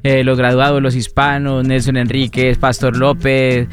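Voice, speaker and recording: A man's voice: mid-range voice, completely flat delivery, measured pace. A man with a Colombian accent, a singer chatting casually as an interview guest. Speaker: salsa and popular music singer, guest. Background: tropical music underneath.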